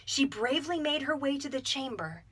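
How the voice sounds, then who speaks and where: Young woman storyteller, warm read-aloud manner for children, pitched a touch high. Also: moderate pace, slight background noise. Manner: gentle, expressive storytelling. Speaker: storyteller, voice actor.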